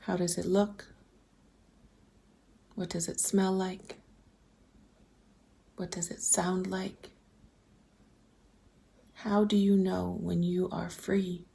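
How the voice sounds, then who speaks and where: A woman leading a guided visualization, speaking softly and breathily in gentle, deliberate questions that often slip toward a whisper. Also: lowish voice, little pitch movement, vocal fry. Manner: gentle, deliberate, posing open questions with pauses. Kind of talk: slow guided meditation, reflective questions.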